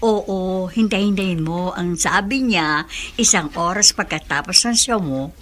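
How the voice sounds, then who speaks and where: Low-voiced, calm older woman. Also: a little slow, slight background noise. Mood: somber, calm. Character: calm older woman relaying grim news. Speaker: radio drama actor. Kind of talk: radio drama dialogue.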